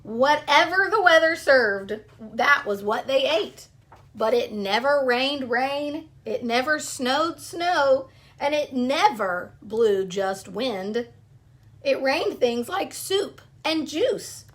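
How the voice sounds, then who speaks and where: A woman reading a children's picture book aloud, pausing between short phrases and speaking directly to young listeners. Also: high-pitched, lively intonation, fairly bright tone.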